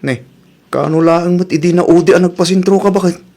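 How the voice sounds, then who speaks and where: A man's voice: high-pitched, steady tone, fairly quick, a little mellow. A man playing a suspicious, nagging village husband with an Ilocano accent, questioning and accusatory, in a comedy audio drama.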